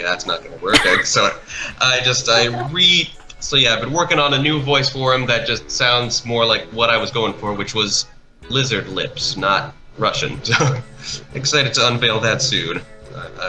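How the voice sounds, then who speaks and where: A man chatting casually as a guest, halting but enthusiastic as he talks about a creative project. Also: medium pitch, sharp tone, poor audio. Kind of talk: casual chat, commentary over an art video.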